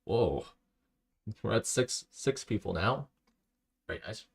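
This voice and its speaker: Low, gravelly man streaming a game. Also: very animated, takes it slowly. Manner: rambling low-key self-talk, reads chat aloud. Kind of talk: casual live-stream commentary while playing.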